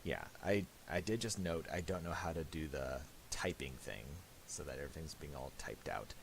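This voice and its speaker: A man presenting a casual software tutorial in a halting, mumbled way, on a hissy home recording with clicks. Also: very deep voice, gravelly.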